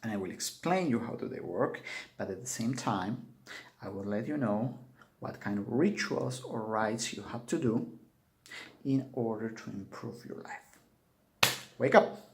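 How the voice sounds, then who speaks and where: A man from Peru with a Peruvian Spanish accent, a native Spanish speaker, giving an earnest spiritual talk to his viewers in halting English. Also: on the low side, animated. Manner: earnest and urging, with halting non-native phrasing. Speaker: spiritual teacher. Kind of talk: earnest spoken address to camera.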